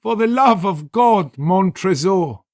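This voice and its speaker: A high, strained nobleman's voice, drunken and desperate. He wheedles with over-bright cheer and a mad, laughing edge, pitched far above ordinary speech. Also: lively intonation, slow, no echo, clean studio sound, full character voice. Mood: desperate pleading. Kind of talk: dramatic audiobook character voice.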